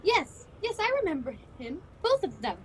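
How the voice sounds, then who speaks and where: Woman acting an excitable, high, animated miser. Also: normal speed, slight background noise. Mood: excited, nostalgic. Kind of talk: theatrical radio play acting. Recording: home video-call recording.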